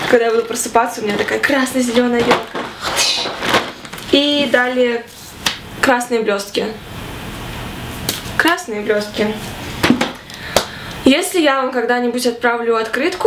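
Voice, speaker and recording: A young woman in her twenties vlogging casually to camera in her small bedroom, chatty and animated. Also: a little high, echoey room, background noise. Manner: chatty and animated, gesturing a lot. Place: small bedroom with fairy lights.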